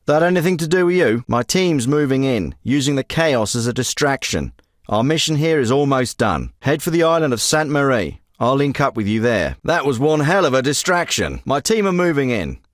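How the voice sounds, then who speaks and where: A man plays a middle-aged covert operations handler in a military shooter game, clipped and commanding with the odd curse. His lines swing up and down in pitch, and they are recorded dry and close with no room sound. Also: sing-song, normal speed, no echo, natural voice. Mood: steady. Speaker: special forces handler. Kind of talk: game voice acting.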